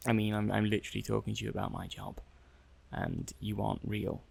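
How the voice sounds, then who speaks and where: A man acting an affable technician in an ASMR sci-fi roleplay. His voice sits fairly low with plenty of gravelly creak. He talks softly and hesitantly, thinking aloud as he runs tests on a robot, a little flustered. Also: monotone, brisk, a little hiss or hum. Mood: chatty, slightly flustered. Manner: hesitant, musing aloud, conversational. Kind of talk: soft-spoken ASMR roleplay.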